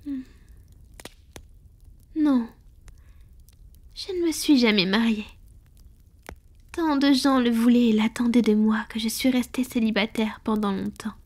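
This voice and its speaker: A woman plays a proud, ancient female vampire who is tender with a lover. The voice is slightly high and very breathy, mostly whispered. The delivery is slow and intimate, in the manner of a romantic ASMR roleplay. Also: darkish tone. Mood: wistful, confiding. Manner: slow, intimate, affectionate murmuring.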